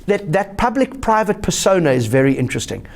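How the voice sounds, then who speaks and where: Fast-talking South African comedian. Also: male voice, creaky. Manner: animated anecdotes with lively pitch jumps. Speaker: guest comedian. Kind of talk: casual interview storytelling.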